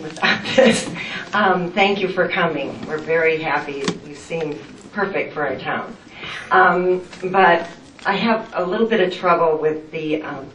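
An older woman speaking up at a town meeting, halting and hesitant, admitting she is nervous. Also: fairly low pitch, a bit bright, slight background noise. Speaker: resident speaking at a public meeting. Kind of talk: public comment at a town meeting. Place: meeting room with a projection screen. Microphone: far room microphone. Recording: roomy hall, distant microphone.

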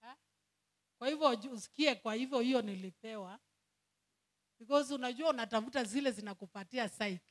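Kenyan woman preacher, high voice, fervent prayer rhythm, code-switching sermon in church. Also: brisk, pauses often. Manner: switches between Swahili and English, fervent prayer cadence.

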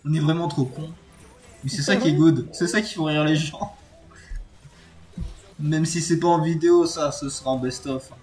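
A man's voice: on the high side, moderate pace, pauses often, poor audio. A young man, gaming channel host, bantering casually with friends on voice chat and laughing often. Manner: laughs often, joking, excitable.

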